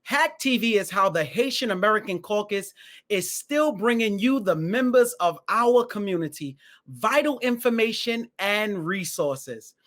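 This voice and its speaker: High-voiced, enthusiastic male interview host. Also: animated, unhurried, a bit bright. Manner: enthusiastic, repeats phrases for emphasis. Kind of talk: online interview show hosting.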